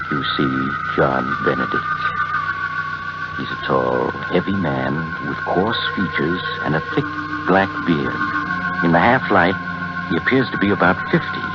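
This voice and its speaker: An actor playing an ominous storyteller, narrating old radio suspense in a deliberate, dramatic way as he addresses the heroine. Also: low voice. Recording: dull old radio recording. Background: loud music.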